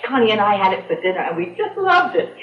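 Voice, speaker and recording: A woman's voice: medium pitch, big pitch swings, fast, natural voice. An actress playing an affectionate, coaxing woman in a 1970s radio drama. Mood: coaxing, playful. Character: coaxing, affectionate woman offering wine to her lover. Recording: echoey, dull old radio recording.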